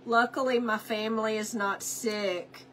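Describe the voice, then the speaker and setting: Slow-talking congested woman. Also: a little high, fairly even intonation, slight background noise. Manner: chatty, talks to herself while working, greets viewers by name. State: congested from a cold. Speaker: craft livestream host. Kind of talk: casual craft livestream chat.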